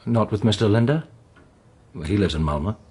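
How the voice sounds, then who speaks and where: An actor playing a polite, probing police inspector in a radio drama, asking questions with courteous hesitation. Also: male voice, fairly low pitch, lively intonation, fairly quick, warm tone, breathy edge.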